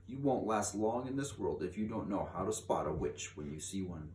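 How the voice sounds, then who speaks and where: A man's voice: low voice, a little mellow, lightly acted. Man reading as a grave old grandmother, echoey room. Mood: earnest warning. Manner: emphatic, deliberate storytelling. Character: very old, grave, insistent grandmother telling witch tales. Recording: echoey room, distant mic, faint noise.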